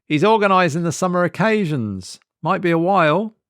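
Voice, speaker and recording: A man's voice: high voice, expressive, normal speed, a bit bright, clear, resonant tone, dry recording, studio quality, pitched above the performer's own voice. Weary female shop assistant played by a male reader, horror story: dismissive, terse, raised register. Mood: curt, dismissive. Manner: offhand, unhelpful, terse. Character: tired, curt, dismissive woman shop assistant.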